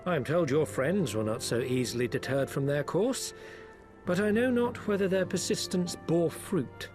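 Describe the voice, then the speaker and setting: A man in a game cutscene giving a formal, measured briefing. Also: on the high side, expressive, over music, natural voice. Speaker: informant briefing the heroes. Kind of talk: video game cutscene acting.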